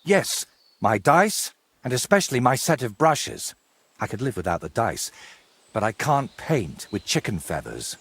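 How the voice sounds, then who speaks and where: Husky middle-aged male painter for a medieval game: courteous, wry, florid, very animated intonation. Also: mid-range voice, a little slow, full character voice. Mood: earnest. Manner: theatrical, wordy, courteous. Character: wry, wordy ageing medieval painter, robbed but polite. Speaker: video game character. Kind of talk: game cutscene dialogue.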